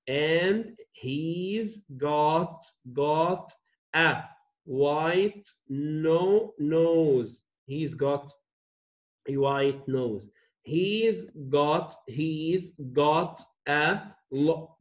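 Guy teacher breaking words into bits, higher voice, super slow, kinda muffled lo-fi recording. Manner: drawn-out syllable-by-syllable sounding out, repeating each word. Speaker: English teacher. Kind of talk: slow phonics reading lesson, sounding out words. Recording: dull lo-fi screen recording.

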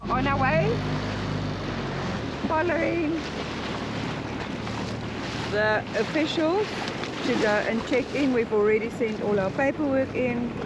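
A woman's voice: sits high, slow, long pauses, over loud music. A woman giving a casual vlog update outdoors on a small boat. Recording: very noisy, boat engine and wind.

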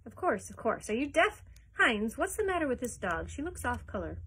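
A woman reading aloud and voicing a gruff, blustering old male Duke who roars his orders. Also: on the high side, big pitch swings, brisk, heavily acted. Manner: roaring, bossy.

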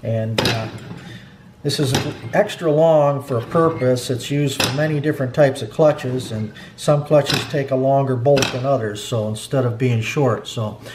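A man's voice: mid-range voice, measured pace, background noise. Plainspoken middle-aged man in echoey garage. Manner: plainspoken, rambling with fillers. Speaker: snowmobile clutch mechanic. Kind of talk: hands-on workshop tutorial. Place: garage workbench. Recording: echoey workshop.

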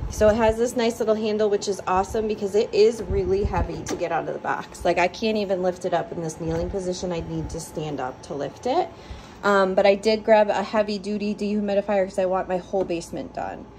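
A woman's voice: mid-range voice, a little mellow, a little hiss or hum, low-quality recording. A woman giving a casual, upbeat product review on a phone, with lots of ums while she talks at home. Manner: chatty, upbeat, frequent ums. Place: living room, indoors. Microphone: phone held over the device.

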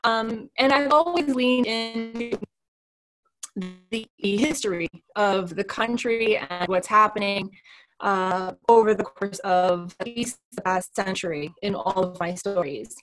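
A woman's voice: medium pitch, a little slow, faint music behind, poor audio. A woman in her thirties, an author speaking earnestly about her novel on a video call from a room with bookshelves. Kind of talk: author talk on a panel.

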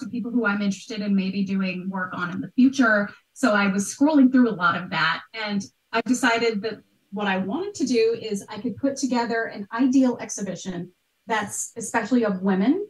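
A talkative woman, likely in midlife, explaining things emphatically in a conversational interview over a video call. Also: medium pitch. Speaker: podcast host and art historian, interview guest. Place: small bright room at home. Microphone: computer mic on a video call. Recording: roomy echo, distant mic, video call.

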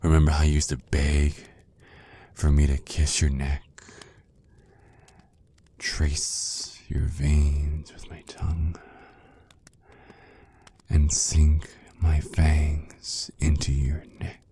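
ASMR roleplay casting: jealous male vampire ex, acted by a man, extremely low dark voice, slow seductive taunts. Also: monotone, a little hiss or hum, heavily acted. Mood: mocking, seductive. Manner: slow, teasing, drawn-out menacing murmur.

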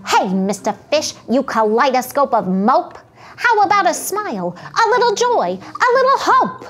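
A woman's voice: high voice, big pitch swings, normal speed, a bit bright. A woman voicing a squirmy, slightly impolite female squid in a storybook, pushy in manner.

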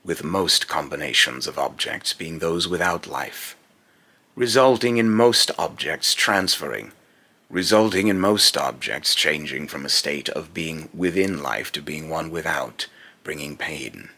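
A man's voice: extremely low pitch, lively intonation, pushed and bright, exaggerated voice. A young man playing a menacing, scornful godlike villain in pompous, theatrical monologue, recorded in a small room at home. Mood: grave, contemptuous. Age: young adult. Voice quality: gravelly, raspy. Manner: slow, pompous, theatrical delivery. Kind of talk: dramatic villain monologue, rehearsed voice lines.

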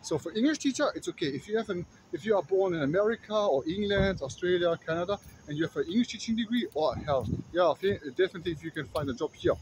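Brief: thirties man with German accent, somewhat high voice, rambling walk-and-talk vlog, phone held at arm's length outdoors. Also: expressive, very fast, few pauses, a little mellow, slight background noise, poor audio. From Kazakhstan. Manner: rambling, many restarts and fillers, walking while talking. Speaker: vlogger. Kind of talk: casual walking vlog talk. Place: city streets at night, outdoors.

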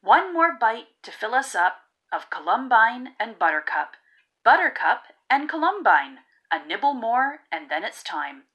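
A woman reading a children's picture book aloud in a rhythmic, theatrical way with drawn-out emphasis. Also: a little high, very animated, bright, forceful tone, muffled recording. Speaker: read-aloud narrator of children's picture books. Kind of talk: expressive storybook reading.

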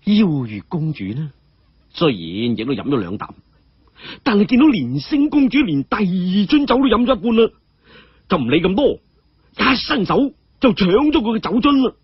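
A man with a Cantonese accent telling a martial-arts tale as an animated storyteller. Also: sits high, normal speed, slightly rough, dry recording, muffled recording. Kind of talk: animated wuxia audio storytelling.

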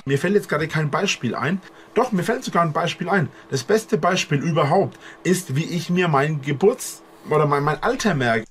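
Webcam streamer, man, 20s, animated pitch, rambling, faint room hum. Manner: long, halting explanations with restarts. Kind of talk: rambling monologue to camera. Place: indoor bedroom with posters.

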